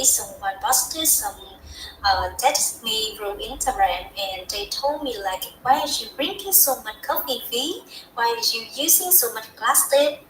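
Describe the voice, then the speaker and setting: A young woman from Vietnam speaks English with a Vietnamese accent. Her voice is bright and crisp, and she talks in an earnest, heartfelt way, sometimes restarting a phrase. She sounds like she is in an echoey room, with a faint hiss behind her. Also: a little high. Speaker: student speaker. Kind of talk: practice talk in a TED style.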